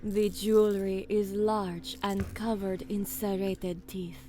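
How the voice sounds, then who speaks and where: A woman playing a grave alien commander in a science fiction audio drama, speaking in a measured, deliberate and dramatic way. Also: medium pitch, level tone, mellow, close to an everyday voice. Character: alien woman commander, grave narrator, fierce when giving orders. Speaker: narrator and lead character. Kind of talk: dramatic first-person audio drama narration.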